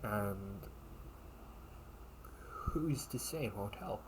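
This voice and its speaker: A man acts out a loyal young knight in an intimate audio roleplay. He talks very slowly in a fairly low voice, formal yet gently teasing, like a caring old friend. Some hiss sits behind his halting words. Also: expressive. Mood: gentle concern. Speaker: amateur audio roleplay performer.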